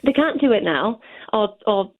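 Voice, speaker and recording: A woman from Poland calling in to a radio show, her Polish accent carrying a touch of Leeds. She talks hesitantly, dotting her speech with fillers and small laughs. The call comes through a thin phone line at a middling pitch. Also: animated, normal speed. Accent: Polish with a Leeds tinge. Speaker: caller.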